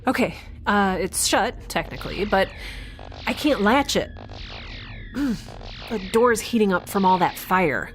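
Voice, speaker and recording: A woman's voice: mid-range voice, lively intonation, unhurried, long pauses, faint music behind, close to an everyday voice. An actress playing a quirky, sleep-deprived young woman scientist in a sci-fi audio drama, chatty and rambling, often interrupting herself. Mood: focused, excited.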